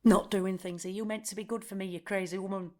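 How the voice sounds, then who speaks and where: A woman's voice: mid-range voice, steady tone, quick pace, warm tone, lightly acted. An actress playing a blunt, restless, literal-minded woman in audio play dialogue. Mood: dismayed.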